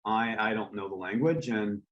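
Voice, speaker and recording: A man, a real estate developer, speaking as a guest in a casual interview, relaxed and rambling with frequent ums and uhs. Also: fairly low pitch, moderate pace, highs cut off. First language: English.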